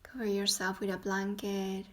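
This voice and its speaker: A woman guiding a yoga nidra meditation in a soothing way, leaving long pauses between phrases. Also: medium pitch, completely flat delivery, a bit bright. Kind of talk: guided meditation.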